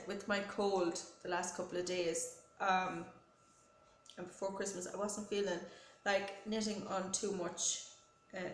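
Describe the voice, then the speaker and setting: Stuffed-up woman hosting a knitting show. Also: mid-range voice. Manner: friendly, rambling, with frequent ums and self-corrections. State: stuffed up from a head cold. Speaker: knitting podcast host. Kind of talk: casual chatty show-and-tell. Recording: roomy echo with faint noise.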